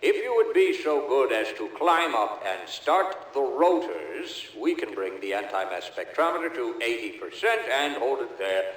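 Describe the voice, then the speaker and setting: An actor playing an earnest, authoritative research scientist in a video game, speaking in a formal, measured, instructive way. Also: male voice, mid-range voice, big pitch swings, roomy sound, natural voice, rough recording. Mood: instructive, projected. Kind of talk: video game character dialogue.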